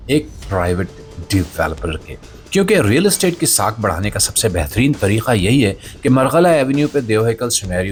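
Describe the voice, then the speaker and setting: A man hosting a satirical commentary show, delivering a scripted monologue in a theatrical, mock-solemn way. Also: on the low side.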